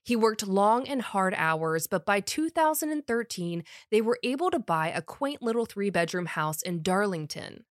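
A woman's voice: medium pitch, lively intonation, normal speed, hardly any pauses, no room sound, studio quality. A woman podcast host with a summer cold, reading a scripted true crime story in a wry, conversational way.

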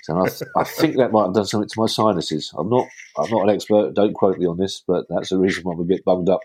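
A man's voice: sits low, quick pace, a little mellow, husky. A man hosting a football podcast, sounding bunged up from a cold and chatting in a self-deprecating way. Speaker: podcast host. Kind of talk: casual podcast chat.

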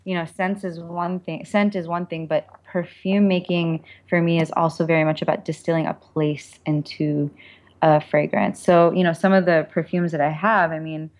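A woman speaks in a very low register as an interview guest. She explains her thoughts conversationally, reflecting as she goes in informal language. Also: little pitch movement, normal speed, dull audio. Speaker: author and perfumer, interview guest.

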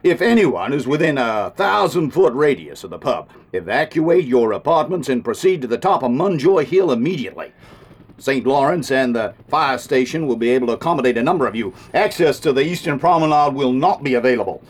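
An actor playing an impatient, authoritative military officer giving brusque orders in a radio play. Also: male voice, mid-range voice, lively intonation, fairly quick, natural voice. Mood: urgent, official. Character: gruff, commanding male military officer, impatient.

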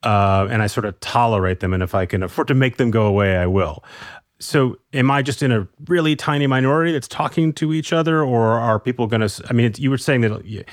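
A man's voice: mid-range voice, fast, no echo, clean studio sound. A man interviewing a guest with skeptical, conversational questions.

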